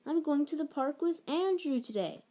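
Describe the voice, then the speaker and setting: A woman's voice: high voice, expressive, moderate pace, warm tone, pitched above the performer's own voice. A woman voicing an eager, imaginative little boy in a picture-book story, exclaiming playfully. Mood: disappointed, whiny.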